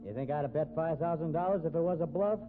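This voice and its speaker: An actor playing a cocky, evasive rodeo cowboy in a 1950s radio drama, with American accent and folksy Western slang. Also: male voice, on the high side, fast talker, narrow, tinny audio, over music, close to an everyday voice. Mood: cocky, assured. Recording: thin, noisy vintage radio recording.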